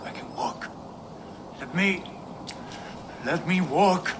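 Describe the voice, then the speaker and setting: Defiant, worn-out Norse nobleman for a game; strained, fairly high voice, noisy ambience behind. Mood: weary defiance. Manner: halting, strained. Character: weakened, defiant male Viking lord freed from captivity. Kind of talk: dramatic video game acting.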